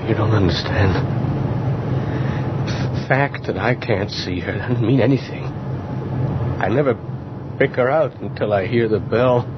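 An actor playing a haunted, frightened bus driver in an old radio horror drama, halting and agitated. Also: male voice, lowish voice, lightly acted. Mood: shaken. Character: frightened, haunted male bus driver near breaking point. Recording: dull, noisy old radio recording.

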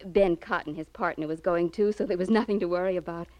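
An actress playing a sweet, trusting young wife in a 1950s radio crime drama, speaking politely and genteelly. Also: female voice, on the low side, fairly even intonation, fast, warm tone, close to an everyday voice.

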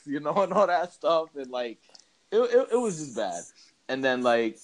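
Low-voiced man in a slangy rant. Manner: emphatic, slangy, repetitive. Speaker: podcast co-host. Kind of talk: casual chat ranting about films.

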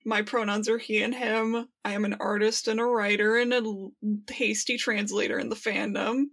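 A woman's voice: medium pitch, flat delivery, normal speed, a bit bright. A woman co-hosting a casual fan podcast, joking in a playful, mock-grandiose way. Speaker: podcast co-host.

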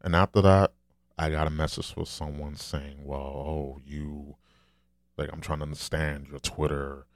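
Deep, flat-voiced man, dry sound. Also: unhurried, no room sound. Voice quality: deep, low soft voice. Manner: candid, rambling, swears casually. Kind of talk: casual solo podcast monologue.

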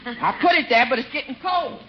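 An actress playing a persistent, grumbling household cook with a folksy, nagging manner in 1940s radio comedy. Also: female voice, sits high, brisk, close to an everyday voice. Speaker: supporting actor in a radio comedy. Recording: dull 1940s radio recording, faint noise.

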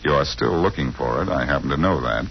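An actor playing a cool, wisecracking detective with a glib, teasing manner in 1940s radio drama style. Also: male voice, a bass voice, a little fast, slight background noise. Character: wisecracking, cool amateur detective, suave and flippant. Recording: muffled old-time radio recording.

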